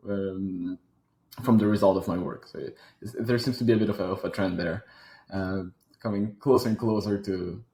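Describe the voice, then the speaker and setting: A French-accented man with a fairly low voice, talking in an echoey room. He speaks in a thoughtful, halting way and often repeats a word before going on. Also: a little mellow. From Switzerland. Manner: thoughtful, repeats words while searching. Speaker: guest, robotics company founder. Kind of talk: casual interview talk.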